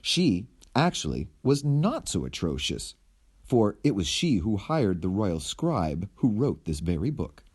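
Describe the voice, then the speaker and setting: Man, children's story reader, theatrical, slow, very lively intonation, deliberate phrasing. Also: fairly low pitch, slightly rough. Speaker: storyteller reading a picture book aloud. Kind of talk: animated children's storybook narration.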